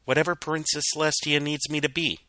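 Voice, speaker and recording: Man voicing an earnest young filly, pitched up. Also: little pitch movement, brisk, dry recording, studio quality. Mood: earnest, assured. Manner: soft-spoken, earnest. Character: young female pony student of magic, earnest, gentle.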